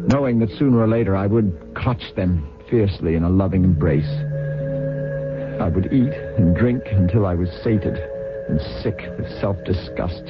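A bitter, self-loathing prisoner in a radio drama. His very deep voice broods through a literary monologue. Music sits behind it, and the old broadcast sound is slightly dull.

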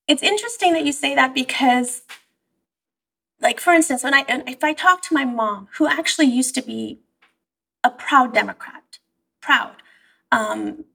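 Woman, husky and gravelly, animated storyteller, a bit far from the mic. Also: high voice. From Iran. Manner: animated storytelling, quoting herself and her mother. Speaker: therapist guest. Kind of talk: conversational interview.

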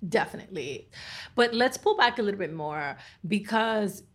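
Woman, podcast host, gravelly voice, earnest reflective questions. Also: mid-range voice, animated, normal speed. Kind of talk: podcast interview.

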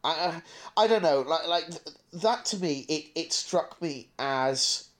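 A man's voice: a little high, lively intonation, measured pace, fairly bright tone. Man, stammering, opinionated podcaster. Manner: hesitant stammering restarts, opinionated. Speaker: podcast co-host. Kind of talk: casual podcast discussion.

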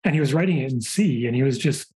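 A man in his forties, a software engineer guest on a podcast, telling chatty anecdotes in a relaxed way. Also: medium pitch, quick pace, rough recording. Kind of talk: casual conversational interview.

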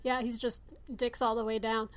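Blunt woman with a higher voice. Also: flat delivery, moderate pace. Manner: blunt, sweary. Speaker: podcast co-host. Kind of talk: casual film discussion.

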